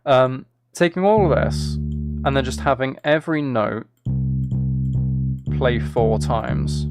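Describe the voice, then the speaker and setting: A man with a mid-pitched voice full of vocal fry talks informally to a livestream. He thinks out loud about the music he is writing and is quick to put down his own ideas. Plucked instrumental music can be heard in the background. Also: lively intonation. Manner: self-deprecating, hums and sings out rhythms. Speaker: live streamer making music. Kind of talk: casual livestream chat, thinking aloud while composing.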